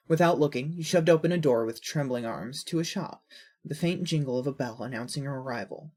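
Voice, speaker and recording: A woman with a husky voice reading scripted fiction as a narrator. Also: a bass voice, a little mellow.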